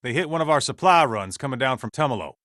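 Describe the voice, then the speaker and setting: An actor playing a gruff, stern survivalist camp leader in a video game, with an American accent and a folksy, blunt, commanding way of talking. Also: male voice, medium pitch, animated, fast, a bit bright, no room sound, studio quality, close to an everyday voice. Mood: grim, businesslike. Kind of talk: video game character dialogue.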